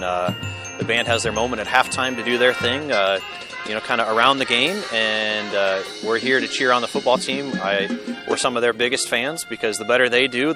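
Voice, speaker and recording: An American man talks casually in a news interview as a school band director. His voice is fairly low, with music clearly behind it.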